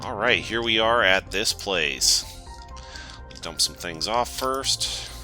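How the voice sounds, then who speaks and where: A man with a cold gives relaxed let's-play commentary, thinking aloud as he explores. His voice sits very low yet sounds strikingly bright and forceful. Soft game music plays underneath. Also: takes it slowly. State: has a cold. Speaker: gaming channel host.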